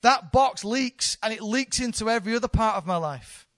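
A woman's voice: on the high side, animated, moderate pace, a bit bright, dry recording. A woman in her thirties, a church leader, giving an informal, candid sermon with self-deprecating humour. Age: 30s.

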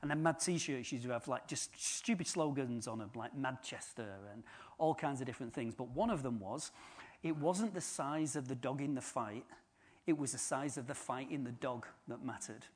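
A man's voice: medium pitch, moderate pace, slightly rough. A man giving an informal sermon, chatty and joking with the congregation. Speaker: preacher.

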